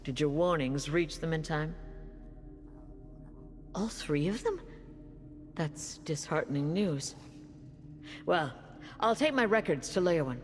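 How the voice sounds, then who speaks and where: A woman's voice: sits low, expressive, takes it slowly, long pauses, a little hiss or hum. A woman playing a proud, precise veteran councillor in a fantasy video game, formal, composed and dry. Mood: wry, businesslike. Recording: long cavernous reverb, music behind.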